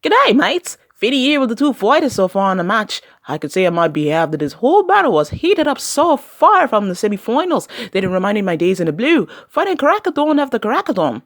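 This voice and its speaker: A chirpy woman reporting from ringside, acted out by a woman. Her voice is fairly high and very animated, with a giggly, playful manner and a close, dry sound. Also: brisk, hardly any pauses, no echo. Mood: cheerful. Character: chirpy, giggly Australian female field interviewer. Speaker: on-scene interviewer. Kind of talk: playful scripted sports report.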